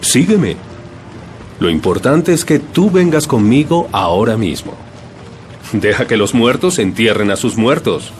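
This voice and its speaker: A man acts out a male holy teacher and healer, commanding yet compassionate. His delivery is solemn and declamatory, with a very mobile pitch. Soft music plays behind this audio Bible drama. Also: animated, unhurried, close to an everyday voice. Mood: earnest, firm.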